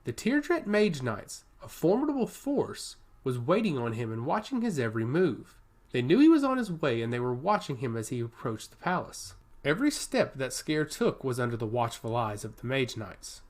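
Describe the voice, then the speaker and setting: A man's voice: mid-range voice, big pitch swings, normal speed. A man reading a scripted fantasy story aloud as its narrator. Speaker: audiobook narrator.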